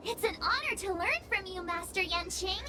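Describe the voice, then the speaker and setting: A woman's voice: very high-pitched, expressive, normal speed, heavy background noise. A woman voicing a perky, playful teenage girl apprentice in an anime-style game. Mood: eager, playful. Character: bubbly teenage girl, eager sword apprentice.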